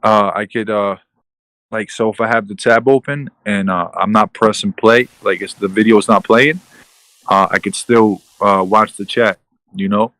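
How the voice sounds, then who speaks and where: A man's voice: on the low side, steady tone, normal speed, a bit bright, dry recording, low-quality recording. A man in a casual group chat, easygoing and heavy with fillers.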